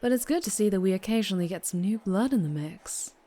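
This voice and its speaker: A woman's voice: medium pitch, expressive, fast, dark tone, natural voice. A woman playing a flirtatious, doting but fierce vampire biker in an ASMR roleplay, with a Southern US accent and a teasing manner. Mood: flirty, welcoming. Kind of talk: ASMR roleplay, intimate character monologue.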